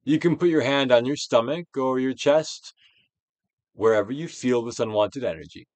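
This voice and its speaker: A man giving a dramatic, theatrical reading of a self-help book as a guided exercise, with deliberate, swelling and falling emphasis. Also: mid-range voice, a little mellow, dry recording. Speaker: narrator, audiobook reader.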